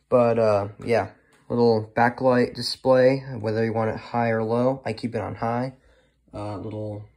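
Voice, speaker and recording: A man with a medium-pitched voice talks casually through a show-and-tell. He is unscripted and halting, letting sentences trail away. He is recorded indoors in a home room. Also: darkish tone. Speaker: hobbyist presenter of a weather radio collection.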